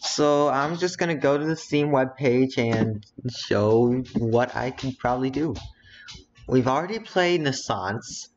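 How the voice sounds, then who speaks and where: Slow-talking streamer thinking aloud. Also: medium pitch, dull audio, faint music behind. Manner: thinks aloud while browsing, muttering asides. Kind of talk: casual game stream chat.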